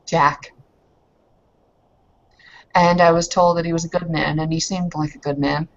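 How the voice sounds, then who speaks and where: A woman's voice: low-pitched, flat delivery, unhurried, lo-fi sound, pitched below the performer's own voice. A woman playing an earnest, proud young newcomer in an improvised roleplay, with formal, hesitant, questioning phrasing. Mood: chatty.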